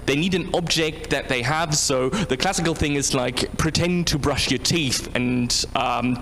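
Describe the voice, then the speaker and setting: Halting man over noticeable background noise. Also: fairly high pitch, fairly bright tone. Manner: tentative, halting, thinking aloud. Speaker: academic audience member asking a question. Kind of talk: unscripted academic question. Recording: clearly noisy, background room ambience.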